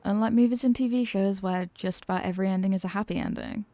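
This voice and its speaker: A woman acts out a female supervillain in a comedic audio roleplay. She is theatrical and sarcastic, an exasperated schemer tired of being evil. Her mid-pitched voice sits close and dry, with no room around it. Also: steady tone, fast, warm tone, no echo, close to an everyday voice. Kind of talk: comedic ASMR roleplay monologue.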